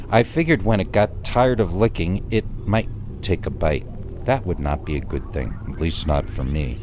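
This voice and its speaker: A low-pitched male storyteller delivers a first-person horror tale. He is deliberate and suspenseful, leaving dramatic pauses between phrases. A bed of nighttime crickets and insects runs behind him. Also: a little mellow, a little hiss or hum. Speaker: narrator of scary stories.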